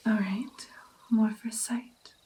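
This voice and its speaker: A woman playing a doting, playful female vampire mentor in an ASMR roleplay, speaking softly and breathily, often in a whisper, coaxing and encouraging. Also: a little high, steady tone, a little hiss or hum. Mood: thoughtful, instructing. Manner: slow, coaxing, encouraging, lingering over phrases. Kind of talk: ASMR roleplay, personal attention.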